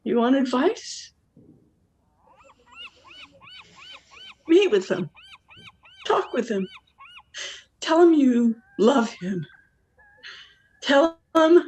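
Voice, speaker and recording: A woman's voice: on the high side, darkish tone, exaggerated voice. An actress playing a weary, worldly wife and mother in a stage play, wistful yet blunt. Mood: blunt, emotional. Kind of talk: dramatic monologue in a stage play.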